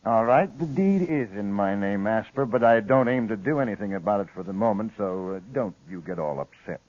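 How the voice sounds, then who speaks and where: An American actor playing a sly, crooked frontier lawyer in a 1950s radio western, smug and unruffled. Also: male voice, on the low side, expressive, a little fast, a little mellow, dry recording, natural voice. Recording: dry, muffled vintage radio recording.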